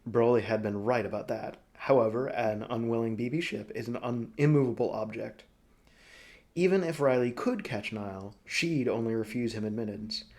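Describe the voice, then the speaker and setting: A man narrating a story as an audiobook reader, expressive and dramatic, phrasing deliberately. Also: medium pitch.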